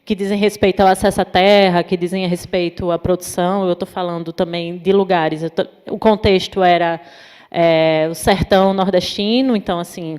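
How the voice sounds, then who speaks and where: A woman from Natal with a northeastern Brazilian accent, a psychologist giving an informal talk at a university event, pausing often with fillers. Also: mid-range voice, darkish tone. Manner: many fillers, thoughtful and hesitant. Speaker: invited speaker, psychologist. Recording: long hall reverb.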